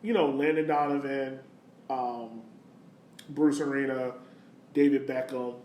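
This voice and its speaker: A man's voice: mid-range voice, fairly even intonation, unhurried, highs cut off. An American man co-hosting a sports podcast, talking soccer casually and giving his opinions in a conversational way. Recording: roomy, distant mic, faint noise.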